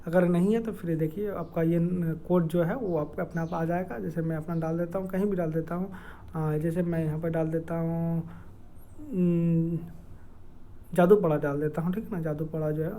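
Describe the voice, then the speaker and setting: A man with a Jharkhand accent giving an informal explainer in a halting, hesitant way, with many filled pauses and repeated words. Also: a little high, steady tone, warm tone, slight background noise. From Dumka district, Jharkhand, India. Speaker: educational video creator. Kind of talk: informal explainer with screen walkthrough.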